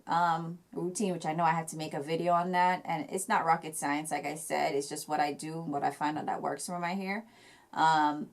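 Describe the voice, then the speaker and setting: Chatty Jamaican-accented woman vlogging. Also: low voice. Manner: chatty, many fillers and restarts. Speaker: hair vlogger. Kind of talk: casual hair care chat to camera.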